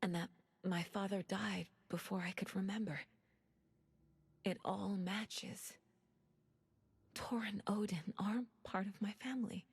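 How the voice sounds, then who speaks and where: An actress playing a determined woman investigator with a seer's intuition in a video game, speaking in a measured, intent way that is at times hushed. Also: medium pitch, fairly even intonation, vocal fry, breathy edge, no room sound, full character voice. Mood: hushed, reflective. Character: determined woman seer investigator, probing and reflective. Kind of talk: video game acting, introspective monologue.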